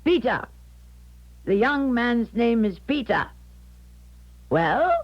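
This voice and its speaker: An actress playing an older, weary fortune teller in a 1970s radio drama, halting and theatrical as she doubts her own powers. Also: a little high, very animated, heavily acted. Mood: reluctant, bewildered. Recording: dull 1970s radio broadcast recording.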